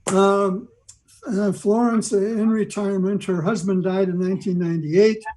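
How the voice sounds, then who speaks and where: An older man giving an informal history talk on a video call, rambling along with halting digressions. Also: sits high, steady tone, mellow, a little hiss or hum. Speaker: club member giving a presentation. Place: video meeting from home.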